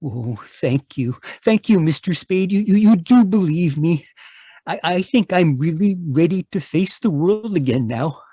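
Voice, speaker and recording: A man's voice: a little high, animated, measured pace, mellow, breathy edge. An actor playing an anxious, hesitant husband in fear for his life, stammering, in an old-time radio mystery. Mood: grateful. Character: anxious, wronged husband seeking his wife and fearing murder. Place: home, live video call performance.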